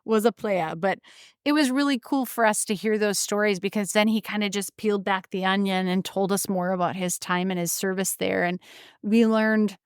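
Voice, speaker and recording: A woman from Wisconsin, co-hosting a podcast, telling a family story in a chatty, animated way. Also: medium pitch, moderate pace, clean tone, dry recording, clean studio sound. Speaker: podcast co-host, antique shop owner. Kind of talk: casual storytelling chat.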